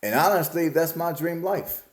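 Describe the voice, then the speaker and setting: A man's voice: on the high side, moderate pace, echoey room. A man in his forties from Alabama, musing aloud in a casual, plain-spoken vlog about his life. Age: 40s. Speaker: vlogger. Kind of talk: casual reflective monologue.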